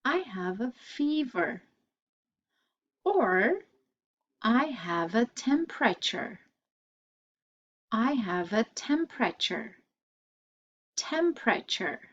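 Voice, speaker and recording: A woman teaching English vocabulary, repeating each phrase slowly and carefully for learners. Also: on the high side, muffled recording. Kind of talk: slow, deliberate vocabulary lesson.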